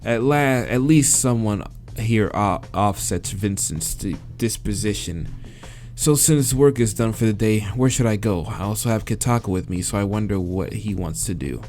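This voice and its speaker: A man's voice: on the low side, normal speed, a little mellow. A man reading a visual novel aloud, breaking off to swear and laugh, with game music behind. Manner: swears and laughs between read lines. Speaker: let's play reader.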